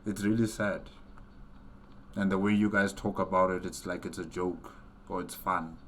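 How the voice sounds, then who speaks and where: A man hosting a reaction video, commenting off the cuff in an earnest, halting way and repeating phrases for emphasis. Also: low-pitched, completely flat delivery, a little hiss or hum.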